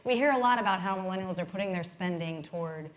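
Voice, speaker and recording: A woman moderating a panel discussion, asking long framing questions in a measured way. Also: on the low side.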